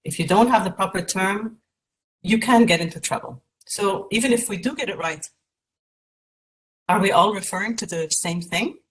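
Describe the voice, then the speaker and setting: A woman from Egypt giving a lecture over a video call, measured and with many fillers and restarts. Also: mid-range voice, rough recording. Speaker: keynote speaker, patient advocate.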